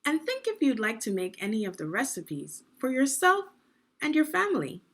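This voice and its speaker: A woman reading aloud as a teacher, with deliberate, careful phrasing and guiding questions for young children in an instructional read-along. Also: high voice, wildly swooping pitch, fairly bright tone.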